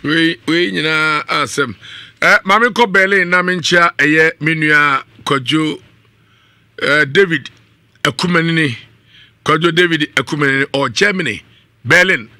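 Bright, forceful Ghanaian male radio host. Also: fairly high pitch, unhurried, no room sound, clean studio sound. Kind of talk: live radio talk show hosting with ad-libbed sponsor reads. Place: small radio studio. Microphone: studio condenser mic on boom arm, up close.

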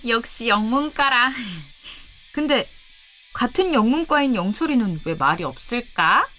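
Woman doing a talkative student activist, higher voice, lively pitch, earnest. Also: a little slow, soft background music. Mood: chatty. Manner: chatty and earnest, speaks up to a senior. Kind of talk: audio drama acting.

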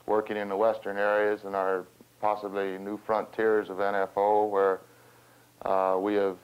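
An American man speaks in a very low voice with little rise or fall, picking his way haltingly through long, roundabout sentences. He sounds measured, as in a formal interview. The sound is slightly dull, like an old film soundtrack. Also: monotone, clear, resonant tone. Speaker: farm organization representative and cattle rancher. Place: small wood-panelled room, seated at a table.

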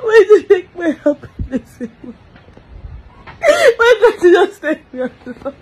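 A woman's voice: extremely high pitch, very animated, a little slow, a little mellow. A distraught woman, crying and wailing through an emotional lament, repeating phrases over and over.

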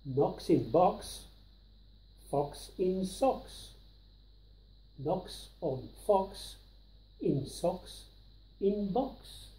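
An older man reading a children's picture book aloud with deliberate, emphatic delivery of the rhymes, recorded in a living room. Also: high-pitched, lively intonation, warm tone, roomy sound. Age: 60s or 70s.

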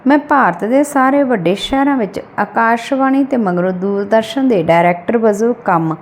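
A woman's voice: fairly high pitch, normal speed, a little hiss or hum. A woman reading a literary short story aloud as scripted audiobook narration.

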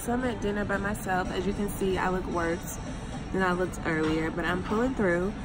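A woman's voice: medium pitch, creaky, background noise. A young woman vlogging casually to her phone from a hotel bed, congested and unwell, talking in a tired, laid-back way. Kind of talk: casual vlog to camera. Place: hotel room, lying in bed. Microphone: phone held at arm's length.

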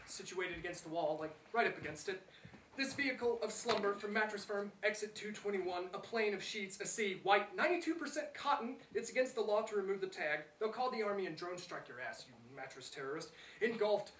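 A man's voice: high voice, a bit bright. A man performing spoken-word poetry in a dramatic manner, in short clipped phrases. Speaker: poet reading own work. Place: small theater, live reading. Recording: roomy theater, distant mic, faint noise.

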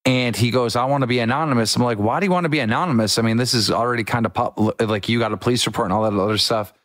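A young man hosting a casual podcast, an excitable storyteller who acts out what people said in quick bursts. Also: medium pitch, no echo, studio quality. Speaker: podcast host.

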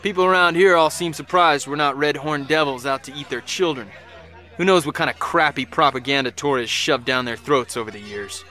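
A man's voice: on the high side, moderate pace, bright, forceful tone. A man playing a weary soldier, a family man, narrating his war in a game cutscene. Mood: wistful. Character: weary American male soldier, husband and father, recounting combat. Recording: music laid behind the voice.

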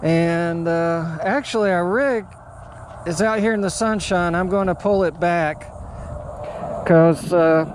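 An American man in his sixties chatting casually and haltingly as he narrates an outdoor vlog. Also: sits high, a little hiss or hum. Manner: halting, unhurried. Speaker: amateur radio operator and vlogger. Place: outdoors at a beachside park. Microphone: handheld action camera or phone at arm's length.

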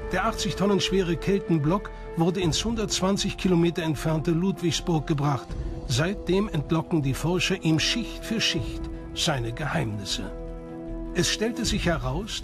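A man reading scripted documentary narration as the narrator of the film. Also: on the high side. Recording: music behind the voice. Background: music.

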